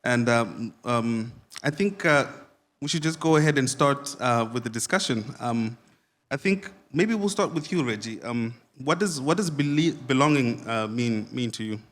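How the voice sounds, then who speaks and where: A man's voice: mid-range voice, animated, fairly bright tone. A man hosting a virtual panel discussion, introducing it in a friendly way with plenty of hesitations. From Finland. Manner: friendly, halting with fillers. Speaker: panel host.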